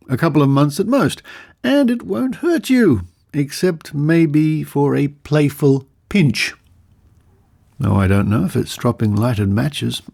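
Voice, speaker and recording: A man's voice: mid-range voice, vocal fry, darkish tone. An Australian man hosting a podcast, reading aloud with asides and theatrical emphasis. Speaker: podcast host.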